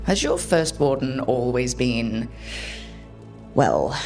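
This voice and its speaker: An actress playing a curious, teasing young adventurer in a fantasy video game, speaking in a casual, dry way. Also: female voice, a bass voice, animated, takes it slowly, a little mellow, soft background music, exaggerated voice.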